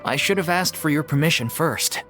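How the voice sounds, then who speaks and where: An actor playing an earnest, worried troupe manager. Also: male voice, a little high, expressive, moderate pace, loud music behind the voice, natural voice. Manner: earnest, apologetic.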